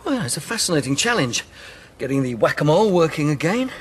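A man's voice: on the high side, sing-song, fast talker, a bit bright, slight background noise. An actor playing a chatty, bumbling but cheerfully confident man with an English accent in a naturalistic radio drama. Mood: breezy.

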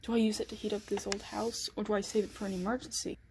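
A woman playing an anxious, secretive killer in a dramatic first-person period monologue, with a husky voice. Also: mid-range voice, level tone, quick pace, a little mellow, slight background noise, close to an everyday voice. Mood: panicked. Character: anxious, secretive 1912 killer snowed in at home.

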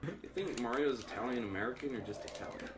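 A man's voice: mid-range voice, expressive, fast. A young man chatting while playing games with friends, telling rambling jokes and stories. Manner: rambling, jokey storyteller. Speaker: friend and player. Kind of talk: casual gaming banter. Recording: clear background noise and clicks.

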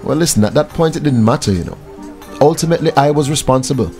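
A man's voice: fairly high pitch, lively intonation, fast talker, darkish tone, soft background music. A man with a Jamaican accent playing an affable, earnest young airline pilot in a scripted radio drama. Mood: earnest, reflective.

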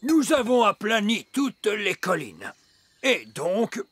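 A man voicing a stern, pompous old elf schoolteacher in a children's cartoon, speaking formally and deliberately. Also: high voice, sing-song, background noise, heavily acted. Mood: grudging. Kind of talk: dubbed children's cartoon acting.

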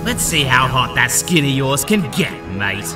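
A man's voice: mid-range voice, big pitch swings, measured pace. A man playing a cocky, taunting fire-wielding villain in a superhero audio drama. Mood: smug, taunting. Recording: distant voice under loud music.

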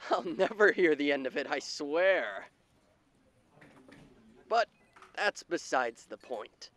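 A man's voice: a little high, fairly bright tone, no echo, exaggerated voice. A man playing a smug, possessive demon boss in an ASMR roleplay, theatrical, slick and falsely polite. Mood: slick, feigned cheer.

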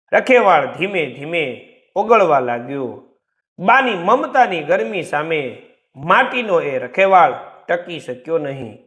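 A man narrating a story in a theatrical, deliberate, scripted style. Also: fairly high pitch, a bit bright.

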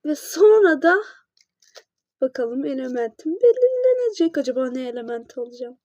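Young female gamer, high voice, chatty running commentary with excitable spikes. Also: moderate pace, dull audio. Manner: chatty, narrates each step aloud, excitable bursts. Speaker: player narrating her game. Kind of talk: casual gameplay roleplay narration.

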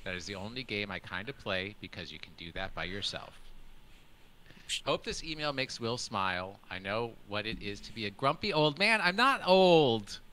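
A man's voice: fairly low pitch, lively intonation, normal speed, bright, forceful tone, slight background noise. A man hosting a casual podcast over a video call, chatting and reading out a listener's email with laughing, self-deprecating asides. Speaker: podcast host.

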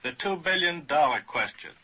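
A man's voice: on the high side, lively intonation, moderate pace, bright, forceful tone, breathy edge, poor audio. A man speaking formally and earnestly as an academic on a 1940s radio panel.

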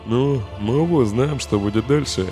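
A man's voice: medium pitch, normal speed, lightly acted. A man voicing a weary, sardonic, protective skeleton in a comic dub, with a subdued, dry, sighing delivery. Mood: resigned, grim. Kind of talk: dramatic fan comic dub. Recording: long hall reverb, faint music behind.

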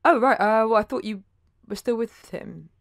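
An actress with a British accent playing a downtrodden, hesitant call-centre worker, halting and informal, in an audio drama. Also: female voice, on the high side, no echo, clean studio sound, natural voice. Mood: concerned, flustered.

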